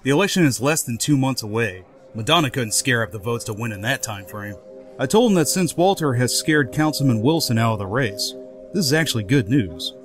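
A man's voice: medium pitch, animated, brisk, soft background music, close to an everyday voice. A man voicing characters in an acted comic book dub. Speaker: voice actor.

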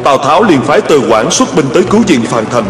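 A man's voice: fairly high pitch, big pitch swings, fast talker. A man voicing a solemn storyteller, narrating ancient wars in a historical cartoon. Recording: long hall reverb, music behind.

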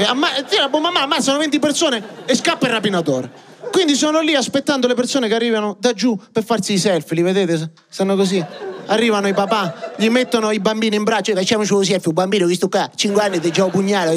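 A man in his thirties performing an animated stand-up set on a club stage into a handheld mic. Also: high voice. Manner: animated, acts out scenes with gestures. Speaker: stand-up comedian. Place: comedy club stage with small audience. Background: audience laughter.

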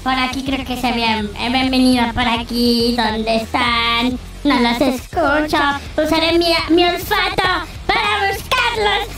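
Extremely high creaky little girl dog, villainous, whiny, theatrical game roleplay, echoey and distant. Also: fairly even intonation, measured pace, hardly any pauses, a bit bright. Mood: menacing, gloating. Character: vengeful little female pet dog with a villainous streak. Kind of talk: improvised video game roleplay. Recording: echoey, distant, music underneath.

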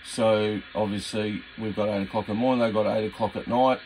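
An older Australian man chats casually in a slightly deep voice. His delivery is easygoing and halting, with some amusement. He is recorded indoors at a radio desk with light static in the background. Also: steady tone, darkish tone. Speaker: radio hobbyist presenting his own video. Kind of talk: casual unscripted commentary. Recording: noisy room with radio static. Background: CB radio hiss and static.

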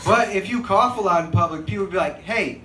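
A young man performing a stand-up set on a handheld mic in a small club, animated and acting out excited bystanders. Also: high-pitched, a little fast. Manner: animated, acts out bystanders with big excited outbursts. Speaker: stand-up comedian. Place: small comedy club stage, indoors. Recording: echoey club room with crowd noise.

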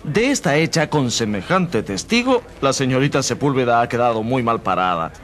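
A man's voice: medium pitch, big pitch swings, normal speed, a bit bright, slight background noise. A man playing a seasoned, cocky court journalist in a radio drama, amused and emphatic. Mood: mocking, amused.

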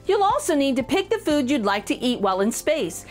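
A woman reading a picture book aloud for children in an expressive, encouraging way, addressing young listeners directly with clear emphasis. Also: high voice, brisk, faint music behind. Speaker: children's storytime reader.

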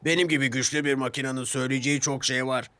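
A man's voice: medium pitch, steady tone, quick pace, bright, forceful tone, close to an everyday voice. A man voicing a proud, boastful big male express engine in a children's story, with a pompous, condescending manner.

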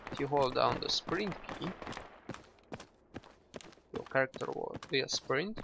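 Gravelly man explaining a shooter game. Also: medium pitch, noisy background. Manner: relaxed, explanatory, with frequent "well" and "uh" restarts. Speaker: gameplay tutorial narrator. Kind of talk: casual instructional commentary over gameplay. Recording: loud game gunfire behind the voice. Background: game gunfire and shooting sounds.

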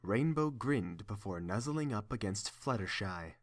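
A man with a fairly low voice serves as narrator. He reads scripted story narration, setting out the action between lines of dialogue. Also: lively intonation, measured pace.

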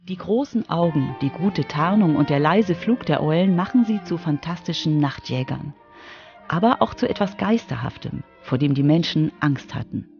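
A woman's voice: low voice, animated, moderate pace, gravelly, dull audio, soft background music. A woman narrating a scripted children's radio feature as its reporter.

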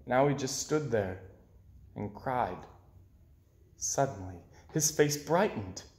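A young man reading a children's story aloud in an expressive way, with dramatic pauses. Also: mid-range voice. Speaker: storybook reader. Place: small indoor room with bookshelves. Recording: roomy, echoey indoor sound.

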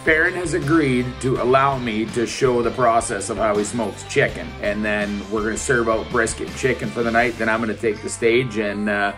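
A man's voice: on the low side, steady tone, normal speed, hardly any pauses, over music. A Canadian man hosting a casual vlog in a playful, folksy way. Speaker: vlog host, comedian.

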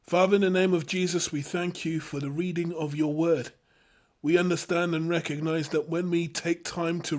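A man leading a Bible study, teaching and praying with measured, emphatic phrasing. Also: fairly high pitch.

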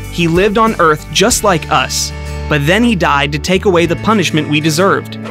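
A man giving a scripted, upbeat storytelling narration for an animated children's Bible video. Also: a little high. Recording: studio voice-over with music underneath.

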